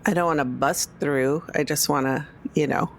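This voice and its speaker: A woman's voice: sits low, fairly even intonation, normal speed, a little hiss or hum. A woman taking part as a player in a tabletop roleplaying game, talking casually about her character's actions.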